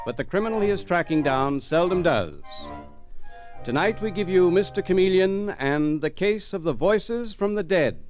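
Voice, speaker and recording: Animated, dramatic 1940s radio announcer, male. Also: high-pitched, soft background music. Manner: dramatic, formal, deliberate. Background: musical instrument.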